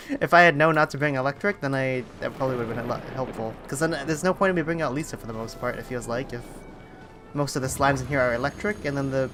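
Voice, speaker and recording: A live streamer chatting casually while playing a game, thinking aloud in a halting way. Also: medium pitch. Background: video game music.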